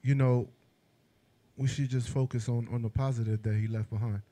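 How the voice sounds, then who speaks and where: A man from Ohio, a guest artist, chatting casually in a laid-back, measured way with many "you knows". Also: medium pitch, fairly even intonation, mellow. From Ohio, born in Puerto Rico. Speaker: hip hop artist guest. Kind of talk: casual interview chat.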